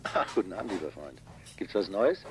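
An actor playing an affable, coolly inquisitive intelligence doctor on a telephone call in a 1980s radio play. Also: male voice, sits low, big pitch swings, a little fast, slight background noise.

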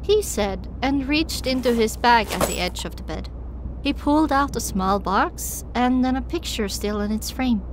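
A woman's voice: on the high side, expressive, moderate pace, noisy background. A woman reading first-person dramatic audiobook narration as a scripted story. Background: music.

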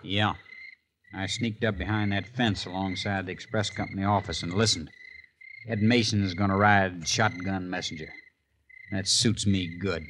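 Joe Beaver, a spiteful villain. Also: male voice, steady tone, moderate pace, fairly bright tone, slight background noise. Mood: scheming. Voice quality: low conspiratorial, vengeful. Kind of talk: 1950s radio drama acting.

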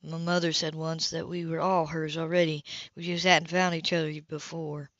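A tough, streetwise man played by a woman reader speaks low in an audiobook, cynical and matter-of-fact about a hard life. The sound is dry and slightly dull. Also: level tone, moderate pace, a bit bright, no echo. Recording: dull lo-fi home recording.

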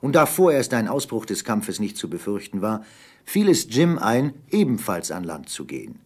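A man with a husky voice narrating an adventure radio play as scripted storytelling. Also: lowish voice, sing-song, moderate pace.

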